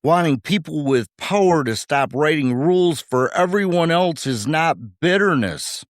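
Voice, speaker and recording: A man's voice: fairly high pitch, animated, unhurried, no echo, clean studio sound. A man co-hosting a podcast, delivering scripted commentary in short, punchy rhetorical phrases with wry humour. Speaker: podcast co-host.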